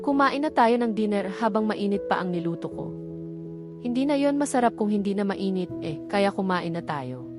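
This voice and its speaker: A Filipino woman voicing an earnest, caring young man in a romance audiobook, gently coaxing. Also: mid-range voice, lively intonation, normal speed, lightly acted. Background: background music.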